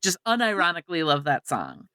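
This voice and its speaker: A woman's voice: fairly low pitch, wildly swooping pitch, a little fast, pushed and bright, no echo. A woman co-hosting a podcast in casual panel chat, opinionated and emphatic in how she talks. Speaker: podcast co-host. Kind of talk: casual panel chat about film adaptations.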